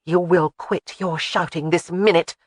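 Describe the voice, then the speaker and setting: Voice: mid-range voice, steady tone, a little slow, no echo, studio quality, lightly acted. The audiobook narrator voicing a protective young woman who scolds firmly. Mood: scolding. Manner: scolding, firm. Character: fierce, protective young woman caring for a baby.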